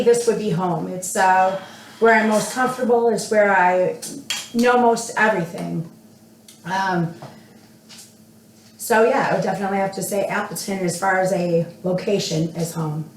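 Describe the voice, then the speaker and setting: American woman, mid-pitched, in echoey room. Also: moderate pace, slight background noise. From United States. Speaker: panel guest. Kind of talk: casual chat. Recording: echoey room, distant mic.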